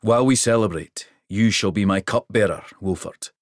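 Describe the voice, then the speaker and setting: A man's voice: on the low side, lively intonation, moderate pace, dry recording, studio quality, lightly acted. A man voicing a jovial, towering king who speaks in a hearty, commanding way. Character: booming jovial giant of a king.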